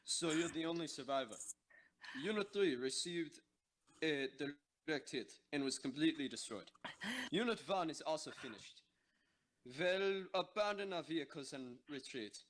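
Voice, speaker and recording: Man acting a high-pitched tank soldier under fire. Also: expressive, moderate pace, natural voice. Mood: grim, resigned. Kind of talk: amateur audio drama acting.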